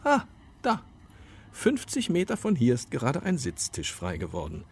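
A man's voice: mid-range voice, wildly swooping pitch, normal speed, husky, heavily acted. A man acting in a radio play as a fussy, indignant customer with an officious, condescending manner. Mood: weary. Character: fussy, indignant, snobbish male department-store customer. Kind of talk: German radio play dialogue.

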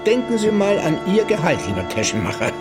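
An actor playing a middle-aged senior police inspector in a German radio crime drama, polite, measured and gently ironic. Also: male voice, high-pitched, huge pitch swings, over music. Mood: wry. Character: senior police inspector, courteous, wry and probing. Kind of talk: German radio play acting.

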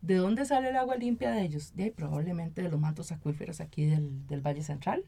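A woman's voice: sits low, a little fast, gravelly, dark tone, slightly rough. An earnest woman with a Costa Rican accent speaking conversationally as an interview guest, often adding tag questions to seek agreement. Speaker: activist and municipal council member, interview guest.

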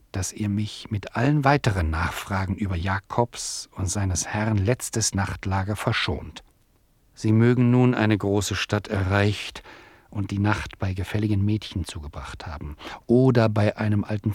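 An actor playing a teasing, mock-impatient storyteller in a radio play, addressing the listener in a wry, theatrical and digressive way. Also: male voice, lowish voice, a little mellow, slightly rough, dry recording.

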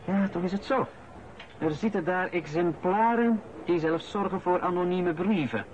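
An actor playing an incoming director who talks in a deliberate, pointed, probing way in a radio play. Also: male voice, high voice, a little mellow, lightly acted. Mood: pointed, insinuating. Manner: deliberate, insinuating. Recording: muffled recording with clear background noise.

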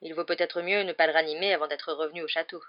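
A woman voicing a calm, measured, quietly firm man in a storybook. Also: low voice, dull audio, close to an everyday voice. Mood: composed. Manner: peaceful, composed.

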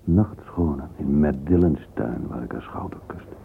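An actor playing a wistful middle-aged man lost in thought, murmuring a halting interior monologue in a radio play. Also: rumbling low, creaky. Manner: murmuring, halting, fragmentary musing, sometimes whispered. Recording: narrow-band lo-fi old radio recording, hissy.

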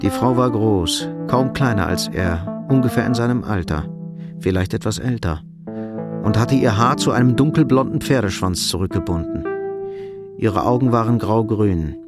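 A man narrating a radio drama in a measured, understated, literary style. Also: on the low side, over loud music.

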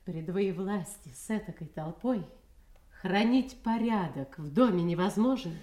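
An actress playing a cold, haughty princess in a classical audio drama, addressing her aged father with measured condescension. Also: medium pitch, expressive, mellow, natural voice. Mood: reproachful. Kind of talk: Russian classical stage drama acting, audio play. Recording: roomy, echoing studio audio play.

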